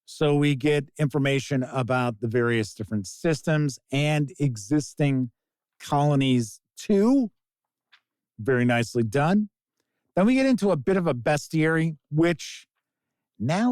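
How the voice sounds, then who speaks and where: A man's voice: on the high side, lively intonation, a little slow, a little mellow, dry recording. A man giving a casual spoken review in an emphatic, opinionated, conversational way, recorded on a close microphone. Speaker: game reviewer and host.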